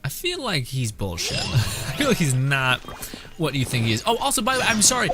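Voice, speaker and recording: A man's voice: a little high, huge pitch swings, a little fast. Rambling young male gaming streamer over music. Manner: rambling, jokey, slangy. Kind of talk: casual gameplay commentary. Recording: game music behind the voice.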